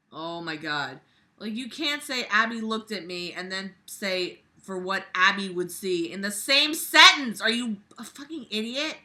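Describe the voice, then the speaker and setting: A woman's voice: on the low side, pushed and bright. A sarcastic, exasperated woman reading a book aloud and mocking it with profane commentary and theatrical emphasis. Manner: theatrical, exaggerated emphasis with sudden outbursts. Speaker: host of a comedic book-reading series.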